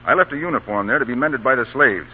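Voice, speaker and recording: An actor playing a measured, authoritative Union colonel, stern yet kindly, in old radio drama style. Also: male voice, medium pitch, animated, slightly rough. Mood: grave sympathy. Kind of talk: 1930s-1940s radio acting. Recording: tinny, hissy old radio broadcast.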